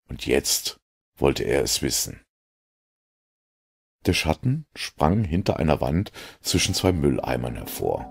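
Male narrator for a dark audio drama: bottomless register, creaky grit, brooding and theatrical. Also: very deep voice. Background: music.

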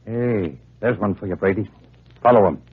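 An actor playing a seasoned, bossy federal agent in an old radio crime drama. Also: male voice, on the low side, moderate pace, slightly rough. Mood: brisk, watchful. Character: seasoned federal agent bossing his rookie partner. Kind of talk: 1940s radio acting. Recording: thin, narrow-band vintage radio recording.

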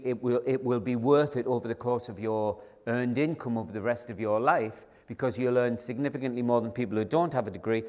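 Older man giving a hall keynote. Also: medium pitch. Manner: conversational, emphatic, addresses the audience directly. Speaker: keynote speaker. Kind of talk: conference keynote talk. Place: large conference hall with seated audience. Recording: long hall reverb.

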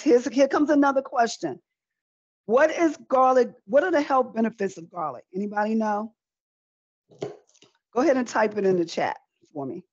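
A middle-aged woman teaches in a conversational, chatty way, circling back to repeat key phrases. Her pitch is average for a woman. The sound is a dull, lo-fi video call with little room echo. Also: darkish tone, breathy edge. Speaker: cooking demonstrator. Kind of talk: live online cooking demonstration, conversational teaching. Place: indoor room with folding screen, demo table.

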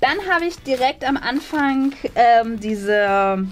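A woman's voice: a little high, lively intonation, takes it slowly. A woman in her thirties hosting a vlog in casual chat, enthusiastic and gushing about things. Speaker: vlog host.

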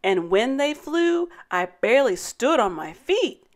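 A woman hosting story time, reading a rhyming children's picture book aloud in a dramatic, deliberate way. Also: on the high side, sing-song. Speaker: story time host and narrator.

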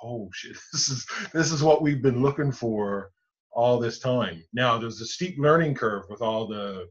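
A man in his forties, an Anglican rector with a Newfoundland accent, explaining church decisions step by step over a video call from his study. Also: medium pitch. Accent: Newfoundland English. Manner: measured, explains step by step with many restarts. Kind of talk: explanatory interview answers. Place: home study with bookshelves. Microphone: video call webcam. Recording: muffled lo-fi video call audio.

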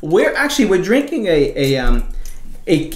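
Middle-aged male co-host, a touch high-pitched, halting explainer, echoey small studio. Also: big pitch swings, background noise. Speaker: co-host, wine presenter. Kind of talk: casual chat. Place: small studio with shelves, indoors. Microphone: desk microphone.

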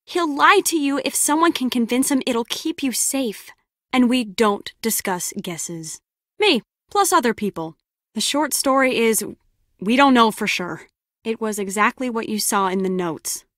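A woman's voice: a little high, lively intonation, unhurried, a bit bright, dry recording, clean studio sound. An actress playing a brusque, sarcastic lone-wolf female spy in a video game, with a curt, biting delivery. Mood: firm, guarded. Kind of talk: scripted game character dialogue.

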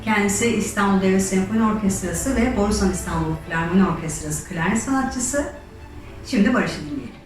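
A woman's voice: mid-range voice, brisk. A woman hosting an educational musical storytelling session, explaining things and addressing her audience directly. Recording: very echoey, distant microphone, faint music.

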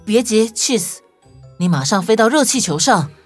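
Woman acting a plucky detective leader, reassuring, animated delivery, slightly high voice, children's story. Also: normal speed, breathy edge. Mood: focused, reassuring. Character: confident, reassuring young detective hero. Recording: music bed behind voice.

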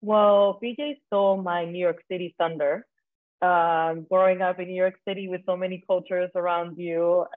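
A woman's voice: on the low side, fairly even intonation, moderate pace, no room sound. A woman who grew up in the Dominican Republic and New York, sharing her career path on a video-call panel in a reflective way, with fillers. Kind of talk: personal career story on a panel. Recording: tinny phone-like video-call audio.

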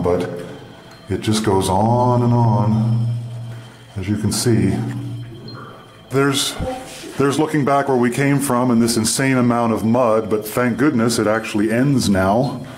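Low-voiced man giving casual exploring commentary. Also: a little mellow, poor audio. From Canada. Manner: halting, unscripted descriptions of surroundings. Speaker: mine explorer and video host. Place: underground in an abandoned mine tunnel.

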